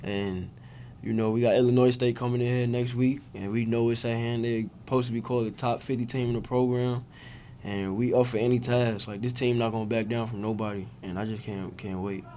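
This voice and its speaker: A man's voice: mid-range voice, little pitch movement, brisk, warm tone, muffled recording, a little hiss or hum. A young man, a college basketball player, answering reporters' questions after a game at a press table. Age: young adult. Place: press conference room. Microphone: table mics in front of him.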